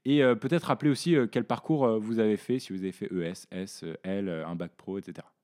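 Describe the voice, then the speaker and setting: A young man from northern France hosting a casual podcast discussion in his living room, talking haltingly with many hesitations and restarts. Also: lowish voice, steady tone, a little mellow. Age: young adult. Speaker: podcast host.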